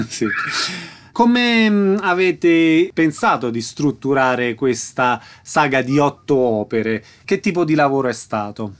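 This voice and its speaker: A man's voice: medium pitch, lively intonation, slow pace, a little hiss or hum. A man working as an interviewer, putting short questions and giving attentive murmurs.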